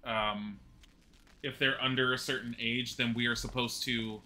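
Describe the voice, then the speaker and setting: A man's voice: medium pitch, level tone, a little slow, sharp tone, slight background noise, poor audio. A man in his forties, a streamer chatting casually and rambling while he plays and talks with viewers. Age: 40s. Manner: rambling, chatty, laughs at own mishaps.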